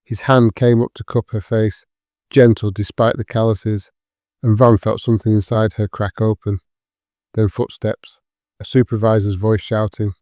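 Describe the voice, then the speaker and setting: A man narrates serious fiction in a mellow, dark voice with little rise and fall. His delivery is sombre and measured, and the recording is dry and close. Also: lowish voice, no room sound. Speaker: audiobook narrator. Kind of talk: scripted dramatic fiction narration.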